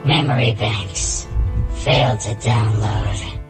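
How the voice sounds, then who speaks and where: A cold robot breaking down, acted by a man, giving clipped status reports at a slow pace in a creaky voice. Music plays clearly behind this comic dub voice. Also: lowish voice. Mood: cold, failing. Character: cold, failing robot reading system errors. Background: background music.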